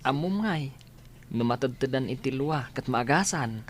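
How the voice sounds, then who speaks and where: A man's voice: medium pitch, expressive, moderate pace, close to an everyday voice. Actor as a dutiful sick young man in radio drama, mid-range subdued voice, Ilocano accent. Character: earnest, dutiful sick male student. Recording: noticeable background noise.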